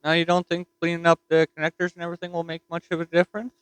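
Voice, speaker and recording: A man's voice: on the high side, monotone, rapid-fire, a bit bright, clean tone, no echo. A man with a Newfoundland accent chatting casually on a ham radio repeater from his home desk mic, folksy and full of fillers. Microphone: desktop mic.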